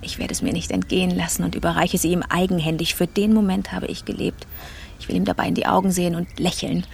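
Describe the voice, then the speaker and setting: An actress playing a triumphant, coolly vindictive career woman in a radio drama monologue, measured and savouring each phrase, with a creaky voice. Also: fairly low pitch, slight background noise. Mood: smug, vindictive.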